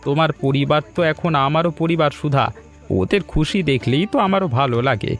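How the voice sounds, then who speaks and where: A man voicing a considerate, agreeable young husband and caring son-in-law in an animated story. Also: on the high side, a little fast, faint music behind, lightly acted. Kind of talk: Bengali animated story dialogue.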